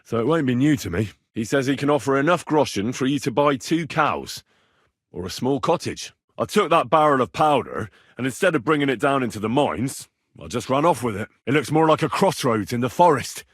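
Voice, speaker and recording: Young medieval man, husky, dry close recording, blunt, righteous, earnest, video game dialogue. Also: mid-range voice, animated, brisk, no echo. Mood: earnest, matter-of-fact. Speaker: voice actor.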